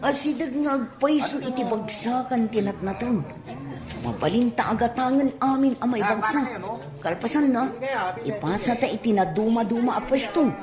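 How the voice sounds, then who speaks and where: Cheerful young wife voiced by a woman, slightly high voice, radio drama, echoey room sound. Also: expressive, moderate pace, crowd noise, natural voice.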